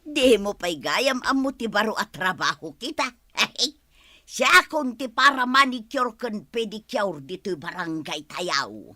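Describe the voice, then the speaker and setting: A woman's voice: mid-range voice, big pitch swings, a little slow, bright, forceful tone, dry recording, clean studio sound, full character voice. A woman playing a chatty, teasing village manicurist who laughs often, with an Ilocano accent, in audio drama style. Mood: chatty, boastful.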